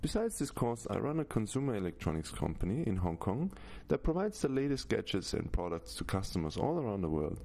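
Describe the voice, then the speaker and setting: Gravelly Austrian-accented man, lively pitch. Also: brisk. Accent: Austrian German. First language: German. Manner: steady, list-like delivery with frequent "Now" openers. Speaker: course instructor. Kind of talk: scripted course introduction.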